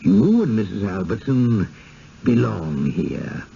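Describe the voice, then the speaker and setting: An actor playing an effusive, insistent village booster with a pompous, flowery manner in a radio drama. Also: male voice, on the low side, sing-song, slow, vocal fry, mellow, heavily acted. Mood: patronizing, coaxing. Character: effusive, insistent small-town village booster, genial and smothering. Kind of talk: vintage radio drama acting. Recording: dull, faintly noisy 1970s radio broadcast.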